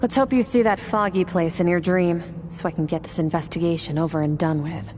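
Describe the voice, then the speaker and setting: An actress voicing a tired, matter-of-fact investigator in a game. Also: female voice, fairly low pitch, steady tone, fairly quick, over music, lightly acted. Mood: weary. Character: calm weary female investigator.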